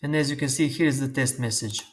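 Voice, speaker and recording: Man explaining software steps. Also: mid-range voice. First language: Croatian. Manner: slow, step-by-step instructions. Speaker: tutorial host. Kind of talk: screen-recorded software tutorial.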